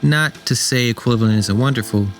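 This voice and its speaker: A man's voice: medium pitch, a little fast, faint music behind, natural voice. A man playing a tender, smitten robot mechanic and kindly uncle in a radio drama. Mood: gentle, kindly.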